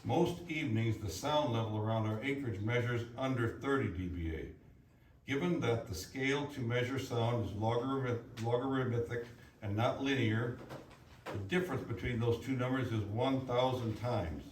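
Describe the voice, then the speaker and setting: A man's voice: medium pitch, moderate pace. A man reading prepared written statements aloud at a public meeting. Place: indoor public hearing room. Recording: echoey meeting room, distant mic, faint noise.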